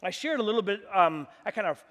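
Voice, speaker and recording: A man preaching a sermon in a church, with a loud, animated delivery and frequent restarts. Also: high voice, quick pace. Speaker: pastor. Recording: long hall reverb.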